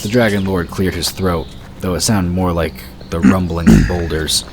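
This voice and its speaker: A man narrating a fantasy story in a steady, measured storytelling voice. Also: deep voice, background noise. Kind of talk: scripted audiobook narration.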